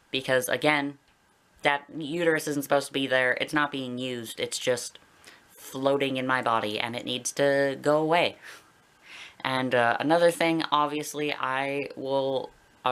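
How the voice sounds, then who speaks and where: A man's voice: mid-range voice, fairly even intonation, normal speed, fairly bright tone, clear, resonant tone. A young adult man with a Canadian accent talking casually and candidly to viewers in a personal vlog, rambling a little. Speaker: video blogger.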